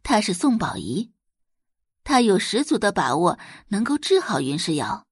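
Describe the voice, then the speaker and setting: A woman's voice: mid-range voice, very animated, moderate pace, husky, no room sound, clean studio sound. A woman narrating a novel as an audiobook, in a dramatic scripted storytelling style.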